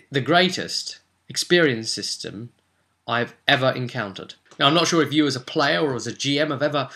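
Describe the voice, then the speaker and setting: A man's voice: mid-range voice, sharp tone. A man presenting a tabletop role-playing video as an enthusiastic explainer, emphatic and chatty, with rhetorical asides to viewers.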